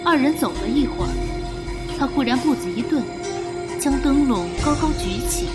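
High-voiced woman narrating over loud music. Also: animated, normal speed. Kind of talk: dramatic scripted narration for an audio drama.

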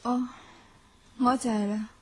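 A woman's voice: a little high, steady tone, slow. An actress playing a self-possessed young woman novelist in a radio drama, with a Hong Kong Cantonese accent. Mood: composed. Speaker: lead actor and narrator. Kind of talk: radio drama acting and narration. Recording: music behind.

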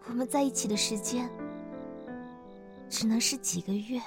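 A woman playing a timid, lovestruck young woman in a Chinese audio drama, earnest, anguished and halting. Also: a little high, natural voice. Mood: nervous, pleading. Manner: hesitant, halting, emotional. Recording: music behind the voice.

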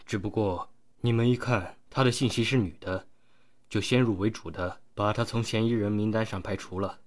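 A man's voice: lowish voice, fairly even intonation, moderate pace, natural voice. An actor playing an easygoing, placating male investigator who explains things patiently in an audio drama. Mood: calm, placating.

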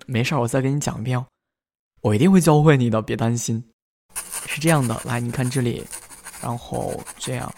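A man playing a kind, patient young student in a radio drama, speaking in a gentle, hesitant, reassuring way. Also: mid-range voice, fairly quick, creaky, long pauses. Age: young adult.

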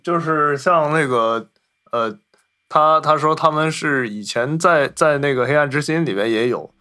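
A man's voice: fairly high pitch, measured pace, pushed and bright. A man co-hosting a casual film discussion, explaining and restating his points as he goes. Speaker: podcast co-host.

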